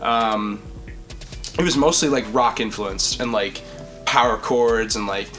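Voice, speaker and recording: A young man talks in a loose, rambling way, cursing often, with a deep voice that carries. His pitch sits in the middle for a man. Music plays behind him the whole time. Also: measured pace, a bit bright. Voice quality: deep projecting voice. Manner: rambling, swears freely. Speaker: vocalist and podcast host. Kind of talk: casual chat.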